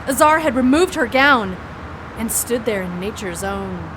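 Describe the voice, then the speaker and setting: Haunted female narrator, dramatic first-person storytelling, high voice, noisy background. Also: animated, unhurried. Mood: grave. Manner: grave, dramatic. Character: haunted woman narrator fighting mind control. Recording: noisy crowd and outdoor din behind.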